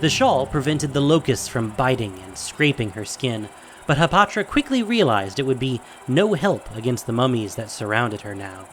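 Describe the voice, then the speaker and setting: A man narrating a fantasy audio drama in a measured, theatrical storytelling style. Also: medium pitch, very animated, fairly bright tone, slight background noise. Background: music and sound effects.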